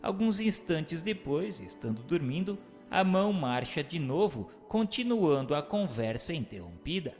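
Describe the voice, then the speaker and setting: A man's voice: on the high side, very animated, a little slow, hardly any pauses, a little mellow. Reverberant man reading formal narration. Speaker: narrator and questioner. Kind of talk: scripted narration, reading aloud. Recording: long hall reverb, music underneath. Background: music.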